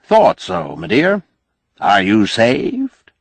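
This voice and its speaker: A man voicing a folksy, pushy, glad-handing senator who preaches, loud and emphatic, for an audiobook. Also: mid-range voice, wildly swooping pitch, very slow, creaky, husky, no room sound, exaggerated voice. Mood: hearty, glad-handing. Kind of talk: audiobook character acting.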